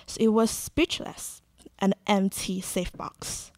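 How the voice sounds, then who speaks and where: A woman's voice: medium pitch, flat delivery, slow, no room sound, natural voice. A woman playing a proud, self-satisfied sheriff telling of heroics in a live student radio play. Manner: boastful, storytelling.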